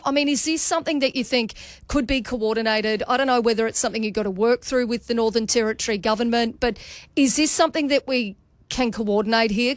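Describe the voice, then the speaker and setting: A woman's voice: a little high, dry recording. An Australian woman hosting a live radio interview, with a direct, pressing way of questioning. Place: radio studio.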